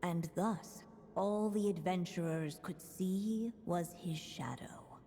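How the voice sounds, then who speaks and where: A low, unhurried storybook narrator, solemn and wistful. Her scripted fairy-tale delivery rings in a long reverb. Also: dark tone. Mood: wistful, grave. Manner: measured, solemn. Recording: long reverb, faint noise.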